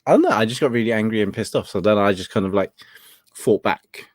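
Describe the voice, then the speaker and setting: Low-voiced man, halting and reflective. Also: animated, no echo, clean studio sound. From England. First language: Cantonese. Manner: halting and reflective, filler-heavy, with laughs. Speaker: talk show host. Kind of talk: casual conversation.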